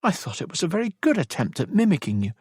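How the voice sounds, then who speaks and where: A man's voice: sits high, big pitch swings, fast, slightly rough, no echo, studio quality, voice pushed up, heavily acted. A man voicing a spirited, curious, stubborn young English noblewoman in an audiobook. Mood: amused, indignant. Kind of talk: audiobook character dialogue.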